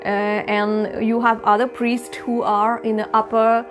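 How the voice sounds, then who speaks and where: A woman's voice: fairly high pitch, level tone, takes it slowly. Humble Mauritian woman, music behind. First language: Bhojpuri. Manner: humble, gentle, many hesitations. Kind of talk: bilingual spiritual teaching video. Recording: music playing clearly behind her.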